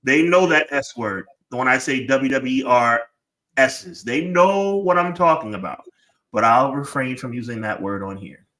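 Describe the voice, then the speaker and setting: A man's voice: medium pitch, animated, normal speed, sharp tone. A man co-hosting a live wrestling podcast, chatting in slangy, rambling banter. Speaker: podcast co-host. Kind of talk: casual live-stream chat.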